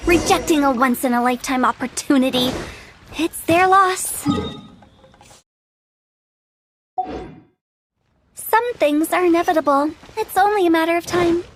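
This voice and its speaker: A woman's voice: extremely high pitch, moderate pace. A woman voicing a playful, eager young funeral parlor director in a video game, with chirpy, theatrical sales patter. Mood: miffed, resolute. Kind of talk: video game character acting. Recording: game audio with background music.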